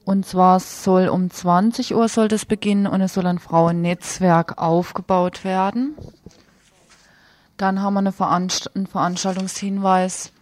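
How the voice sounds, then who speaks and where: Female radio presenter, mid pitch, informal, self-correcting, very dry sound. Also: a little mellow, no echo. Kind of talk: live reading of event listings.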